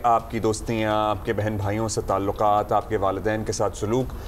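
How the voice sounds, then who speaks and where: A man's voice: lowish voice, flat delivery, a little fast, a little hiss or hum. A man co-hosting a morning talk show, chatting earnestly and shifting into formal Urdu for news items. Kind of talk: casual morning show chat.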